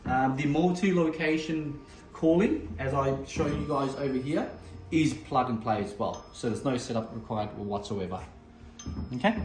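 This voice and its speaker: Male product demonstrator, slightly high voice, halting and repetitive, echoey noisy room. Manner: halting, repetitive, addressing viewers as guys. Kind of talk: unscripted product demo. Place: indoor room, desk demo. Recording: echoey room with background noise.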